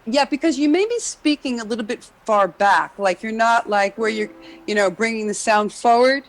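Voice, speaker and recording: A woman's voice: fairly high pitch, normal speed. A woman, a jazz singer and vocal coach, talks through singing technique in casual chat, explaining at length and leaning on "you know".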